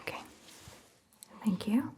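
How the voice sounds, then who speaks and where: A woman playing a caring vampire doctor in an ASMR roleplay, whispering gently and reassuringly in a breathy, hushed voice. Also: slight background noise, close to an everyday voice. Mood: gentle, reassuring. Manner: gentle, slow, reassuring, softly questioning. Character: caring, attentive female vampire doctor tending a patient. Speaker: ASMR performer. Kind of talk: soft-spoken ASMR roleplay, mostly whispered.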